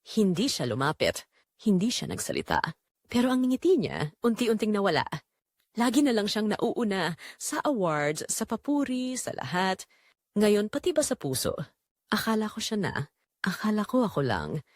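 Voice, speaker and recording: A woman with a Filipino accent narrating a scripted romance story in an expressive, measured way with dramatic pauses. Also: medium pitch, no echo, studio quality.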